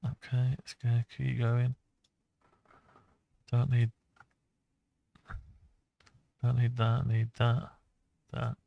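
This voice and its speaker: A man thinking aloud and muttering to himself through an unscripted software walkthrough. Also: mid-range voice, completely flat delivery, slow pace, vocal fry, muffled recording. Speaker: hobbyist tutorial maker.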